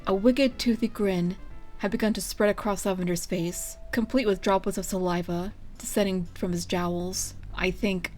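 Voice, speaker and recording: A woman reading a story aloud as its narrator, in an expressive scripted style. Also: medium pitch, normal speed, soft background music. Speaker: fan fiction reader.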